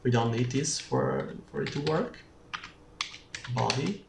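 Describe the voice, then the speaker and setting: Slow, gravelly coding tutor in a roomy space. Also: mid-range voice, lively intonation. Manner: halting, thinking aloud while typing. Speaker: programming tutorial presenter. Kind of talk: unscripted screencast coding tutorial. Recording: roomy, distant mic with clear background noise. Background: keyboard typing and mouse clicks.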